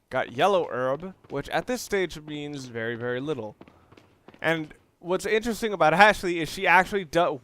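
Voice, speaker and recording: A man giving casual video game commentary in a halting, rambling way, often rephrasing himself as he goes. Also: a little high, a bit bright. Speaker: video game let's player.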